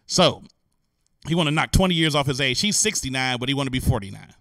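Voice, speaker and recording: A man hosting a show, giving animated, incredulous, joking and slangy commentary on the news. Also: medium pitch, fast talker, dry recording, studio quality. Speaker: host. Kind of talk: comic commentary on news stories.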